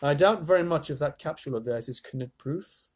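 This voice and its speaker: A man's voice: fairly high pitch, a little fast, a little mellow, natural voice. A man voicing an eccentric inventor who explains things patiently and sternly. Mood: calm explaining. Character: calm eccentric grown man, sweet-maker inventor.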